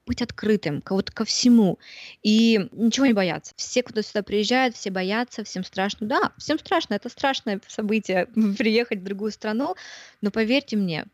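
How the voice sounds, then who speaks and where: A woman's voice: mid-range voice, normal speed, no echo, muffled recording. A woman from Siberia in her thirties, a podcast guest chatting casually and animatedly, laughing often and acting out what others said. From Siberia, Russia. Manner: animated, laughs often, acts out quoted voices. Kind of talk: casual interview chat.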